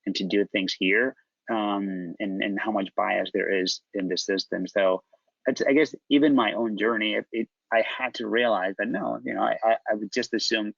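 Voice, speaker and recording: Gravelly low Spanish-accented man. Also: steady tone, fairly bright tone, no room sound, muffled recording. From Spain. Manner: reflective, halting with repeated restarts. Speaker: company founder, panelist. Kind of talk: panel discussion.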